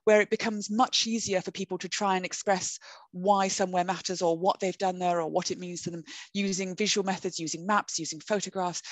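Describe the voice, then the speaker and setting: Woman giving a measured webinar lecture. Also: on the low side, no echo. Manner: measured, explanatory, with frequent "um" and rephrasings. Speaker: academic speaker in a webinar. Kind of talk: recorded lecture presentation. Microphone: computer webcam mic on a video call.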